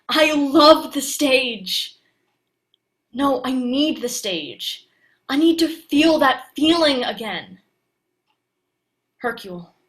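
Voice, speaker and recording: A woman's voice: on the high side, fairly bright tone. An actress playing a passionate, guarded young woman who secretly lives disguised as a male actor, in a theatrical audio play monologue.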